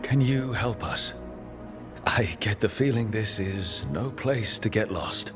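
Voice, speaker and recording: An actor playing a wary, resolute fantasy hero in a video game, direct and businesslike. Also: male voice, fairly low pitch, slow pace. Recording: music behind the voice.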